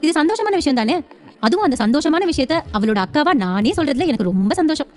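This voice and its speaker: A woman plays a scheming elder sister in an audio serial. The voice is high and quick. She sneers and insists with open spite. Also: very animated, darkish tone. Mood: gloating. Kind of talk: audio serial drama acting.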